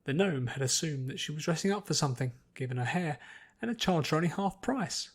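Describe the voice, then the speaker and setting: A man's voice: on the high side, animated, brisk, slightly rough. A man reading scripted story narration as an audiobook narrator.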